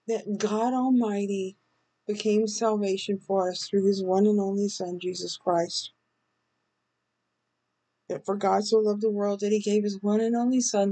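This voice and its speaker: A slightly hoarse woman co-hosting a faith podcast, giving a devotional talk with scripture. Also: medium pitch, warm tone, rough recording. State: slightly hoarse, recovering from illness.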